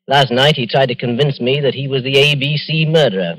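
An actor playing a self-assured upper-class English gentleman in an old radio drama. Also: mid-range voice, steady tone, brisk, close to an everyday voice, low-quality recording. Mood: grave, urgent.

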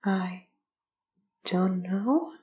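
A woman's voice: medium pitch, extremely slow, muffled recording. A woman voicing a shy, gloomy ghost in an audio drama, hesitant and trailing off. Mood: fearful, faltering. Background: music.

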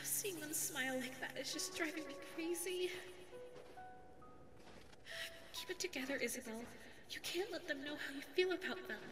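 A woman's voice: high voice, brisk, music in the background, natural voice. A woman voicing a sweet, flustered, lovestruck young female dog secretary in an ASMR audio roleplay, stammering and self-effacing. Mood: lovestruck, anxious. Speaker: voice actor.

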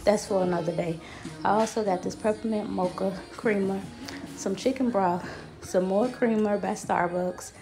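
A woman's voice: medium pitch, moderate pace, vocal fry. A young woman vlogging at home as a busy mom, chatting offhand through her grocery haul with music playing under her voice. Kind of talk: casual home vlog voice-over. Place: home kitchen, indoors.

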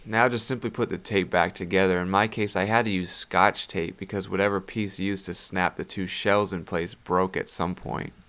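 A man giving a casual, low-key how-to voice-over as the host, speaking in an unscripted, slightly hesitant way. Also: steady tone, moderate pace, hardly any pauses, fairly bright tone.

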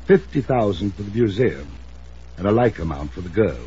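A man playing a blunt, suspicious, wealthy retired businessman in a 1950s radio drama, speaking formally and emphatically in a husky voice. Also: fairly low pitch, animated, normal speed, dark tone, slight background noise, close to an everyday voice. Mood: suspicious, stern. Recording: muffled 1950s radio recording.

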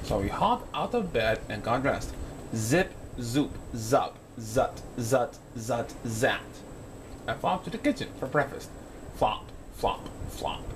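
A young man reads a children's picture book with a very animated, swooping delivery. He playfully performs the sound effects. There is clear background noise from a home recording. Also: mid-range voice, slow pace. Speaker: storyteller reading a picture book. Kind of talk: children's read-aloud narration.

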